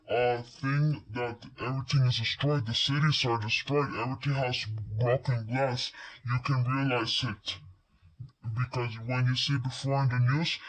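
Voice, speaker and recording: Man, medium pitch, amateur emergency alert narration, halting improvised delivery. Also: rough recording.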